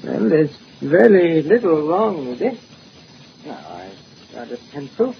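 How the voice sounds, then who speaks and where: A man's voice: on the high side, sing-song, slow, creaky, heavily acted. A man playing a kindly older clockmaker and father in a vintage radio drama, musing and hesitant as he talks. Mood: genial. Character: kindly elderly father, a clockmaker and shop owner. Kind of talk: 1940s radio drama acting. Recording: muffled, hissy old radio recording.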